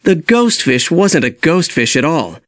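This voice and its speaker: A man reading a children's story as an expressive, scripted read-along narration. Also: a little high, a little slow, no room sound, clean studio sound. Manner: animated storytelling.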